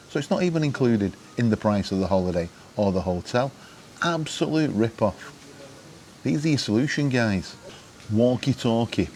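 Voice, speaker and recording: A man's voice: lowish voice, a little mellow, slight background noise, rough recording. A middle-aged British man presenting to camera in an informal, wry, chatty way, often putting questions to the viewer. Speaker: vlogger presenting gadget advice.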